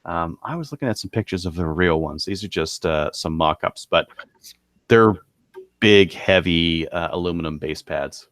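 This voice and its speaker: A man co-hosting a podcast, casually running through products in a halting, thinking-aloud way. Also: sits low, fairly bright tone, dry recording, clean studio sound. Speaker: podcast co-host presenting gun deals.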